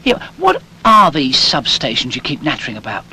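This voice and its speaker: A British actor voicing a small, naive, chatty cartoon bird who eagerly asks questions in a public information film. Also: male voice, medium pitch, wildly swooping pitch, moderate pace, pushed and bright, slight background noise, heavily acted, low-quality recording. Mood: curious. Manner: inquisitive, chirpy, interrupting with questions.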